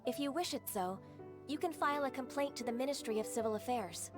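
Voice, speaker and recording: A woman voicing a composed, formal female secretary in a video game, polite and measured, over game music. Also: sits high, natural voice.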